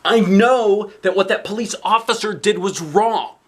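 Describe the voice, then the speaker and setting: Man voicing an indignant little girl, pitched way up. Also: a little slow, slightly rough. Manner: indignant, insistent. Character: upset, outspoken young girl confronting her mother. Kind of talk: picture book read-aloud.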